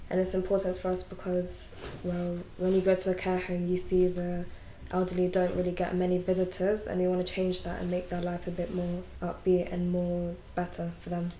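A woman's voice: fairly low pitch, mellow. A sixteen-year-old young woman, giving casual interview answers. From West London. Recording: echoey, noisy room.

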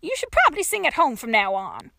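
Woman acting eccentric elderly female gravedigger, high-pitched, folksy rambling, scatterbrained, quarrelsome, storybook character, dry close sound. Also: huge pitch swings, quick pace, gravelly, bright, forceful tone, no room sound, full character voice. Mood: scolding. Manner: folksy, rambling, ungrammatical rural speech.

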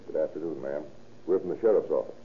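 An actor playing a gruff, brisk middle-aged police captain in an old-time radio crime drama, clipped and commanding. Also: male voice, rumbling low. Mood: businesslike. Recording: muffled, lo-fi old radio recording.

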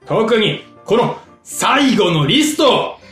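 A woman's voice: mid-range voice, sing-song, slow, faint music behind, pitched above the performer's own voice. Woman playing a prim scolding senior Santa, roomy sound. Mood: exasperated. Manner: polite, preachy, bossy. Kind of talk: short comedic radio drama acting.

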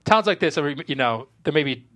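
A man speaking as a screenwriter guest in a casual panel chat, rambling and halting with repeated false starts. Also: fairly high pitch, expressive, fairly bright tone.

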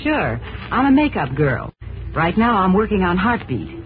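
A woman's voice: on the low side, sing-song, normal speed, music in the background. An actress playing a chirpy, friendly young makeup girl in a scripted 1940s radio promotional skit. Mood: cheerful. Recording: noisy, narrow 1940s radio broadcast.

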